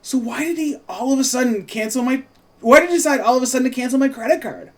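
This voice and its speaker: A man's voice: extremely high pitch, expressive, quick pace, pitched above the performer's own voice. A man reading the part of an upset, skeptical teenage boy in a fantasy script, with emphatic, exasperated delivery.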